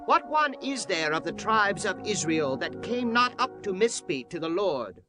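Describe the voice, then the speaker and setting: An urgent man of ancient Israel, played by an actor, with a high and widely swinging voice. He declaims archaic biblical lines as audio drama, over faint music. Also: very animated, a little slow. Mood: stern. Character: urgent men of ancient Israel rallying and judging. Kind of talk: dramatized scripture reading.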